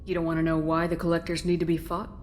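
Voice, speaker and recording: A woman's voice: deep voice, monotone, quick pace, a little hiss or hum, natural voice. A woman playing a firm, businesslike military commander in a video game, direct and probing.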